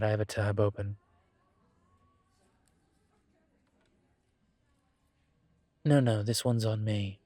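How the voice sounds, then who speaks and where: A man playing a suave incubus demon professor in a roleplay audio drama, teasing and protective, with a wry, measured delivery. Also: fairly low pitch, dark tone, no echo. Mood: amused, relaxed.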